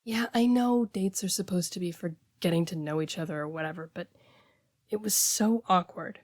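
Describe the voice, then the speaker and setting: A woman playing a casual, blunt heartbreaker friend in an intimate audio roleplay, talking conversationally with hesitant, expressive delivery. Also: fairly low pitch, moderate pace, no echo, clean studio sound, lightly acted. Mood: deflated, wry. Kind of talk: intimate one-sided audio roleplay, naturalistic dialogue.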